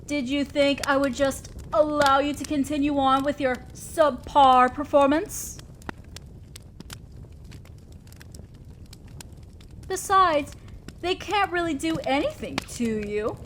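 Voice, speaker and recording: Woman doing a high voiced demon lady boss, bossy n teasing, theatrical audio roleplay, faint background noise. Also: a little slow. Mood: stern, probing. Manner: imperious, theatrical, mock-scolding. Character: commanding female demon lord, teasing yet protective boss. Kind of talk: scripted audio roleplay addressed to the listener. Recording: faint ambient noise, crackling fire.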